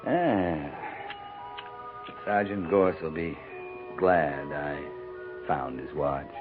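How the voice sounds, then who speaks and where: An actor playing a wry, fond American cavalry captain in a 1950s radio drama, dry and gently teasing. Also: male voice, a bass voice, extremely slow, phone-quality sound, music in the background, heavily acted. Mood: fond, amused.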